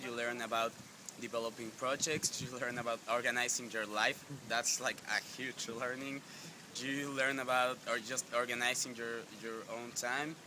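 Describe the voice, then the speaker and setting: A young man with a Mexican accent giving casual interview answers. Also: medium pitch. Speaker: youth organization representative. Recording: clear ambient background noise.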